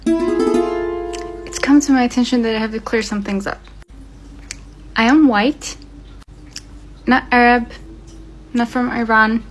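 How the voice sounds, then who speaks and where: A woman's voice: fairly high pitch, poor audio. A young woman from Finland, speaking earnestly and with passion to her viewers, with frequent fillers. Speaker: vlogger. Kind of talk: earnest talk to camera.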